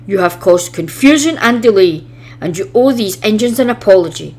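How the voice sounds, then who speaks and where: A woman's voice: medium pitch, animated, moderate pace, darkish tone, husky. A woman voicing a stern male railway boss in a children's storybook, formal and scolding.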